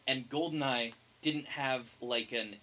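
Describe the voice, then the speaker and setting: A man's voice: medium pitch, measured pace, pushed and bright. A young man talking casually as a podcast co-host, blunt and mock-serious. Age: young adult. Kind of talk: casual opinionated chat.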